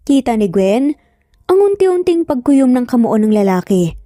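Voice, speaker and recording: A woman's voice: a little high, sing-song, normal speed, warm tone, dry recording, clean studio sound. A woman with a Filipino accent reading a scripted story as a dramatic narrator.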